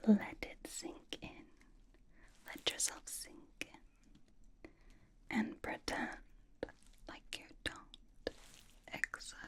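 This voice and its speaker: A woman playing a bossy, playful young female pop idol in a whispered ASMR roleplay, giving slow, mock-stern instructions in a breathy whisper. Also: medium pitch, mellow.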